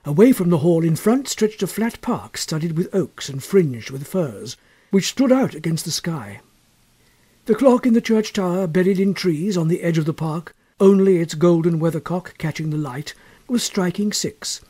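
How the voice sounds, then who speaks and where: A man reading a literary story as an audiobook narrator, measured and expressive in his storytelling. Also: high voice, breathy edge. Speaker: audiobook reader.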